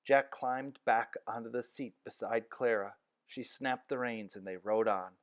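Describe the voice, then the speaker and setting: A man's voice: medium pitch, unhurried, no echo, clean studio sound. Man reading a children's book aloud. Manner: expressive storytelling. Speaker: narrator.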